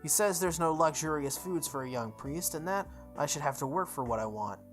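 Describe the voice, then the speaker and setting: A man voicing a serious, formal male high school student in a visual novel. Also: expressive, a little fast, soft background music, lightly acted. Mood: grumbling.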